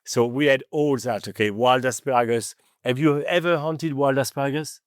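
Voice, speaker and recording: A man with a French accent, French his first language, talking as a podcast guest in an animated storytelling manner, often adding "okay?" and "you know". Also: medium pitch, moderate pace, dry recording, clean studio sound. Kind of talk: conversational interview.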